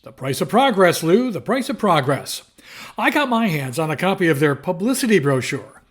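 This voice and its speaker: A husky, high-voiced male reporter. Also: very animated, normal speed, heavily acted. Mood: keen, breezy. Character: breezy, reassuring male newspaper reporter. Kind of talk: audio drama acting.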